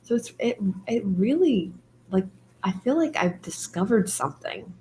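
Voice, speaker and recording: A woman hosting a writing stream, talking casually with many fillers and laughs, her voice going hoarse. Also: medium pitch, big pitch swings, normal speed, dark tone, slight background noise, low-quality recording. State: losing her voice. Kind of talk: casual chat.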